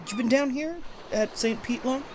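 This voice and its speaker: A man's voice: very high-pitched, moderate pace, a little hiss or hum. An actor playing a folksy, forward hotel keeper courting a woman, chatty and drawling, in old-time radio drama style. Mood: friendly, flirtatious. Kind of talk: 1940s radio acting, dialogue.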